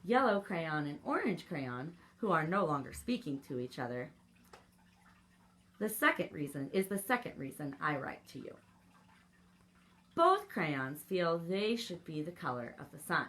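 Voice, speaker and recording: A woman voicing a polite, contented green crayon in a children's storybook, speaking in a measured, formal manner. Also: low voice, very animated, a bit bright, exaggerated voice. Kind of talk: storybook read-aloud for children.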